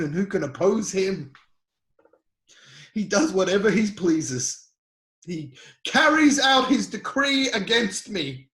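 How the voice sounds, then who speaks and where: A man playing an anguished, devout sufferer, bitter yet defiant, in an impassioned, halting dramatic scripture reading. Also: high voice, heavily acted. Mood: subdued, steadfast. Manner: impassioned, halting delivery swinging from cries to hushed lines. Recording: echoey room.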